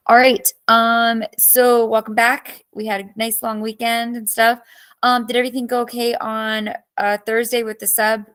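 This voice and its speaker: A woman's voice: fairly high pitch, little pitch movement, moderate pace, fairly bright tone, smooth. Woman teacher with a cracking voice. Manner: chatty, greets students by name and reacts to chat. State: voice cracking, losing her voice. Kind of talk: live online class review session.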